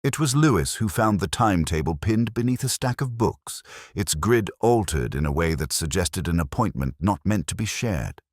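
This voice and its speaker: A man playing an ageing, melancholy police inspector, narrating a detective story in a measured, literary, brooding manner. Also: low-pitched, lively intonation, no echo, clean studio sound. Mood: brooding, reflective. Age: older. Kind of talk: first-person scripted detective story narration.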